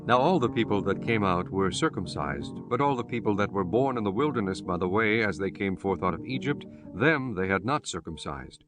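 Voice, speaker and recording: A man narrating scripture for an audiobook in a solemn, measured and formal reading style. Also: lowish voice, lively intonation, over music.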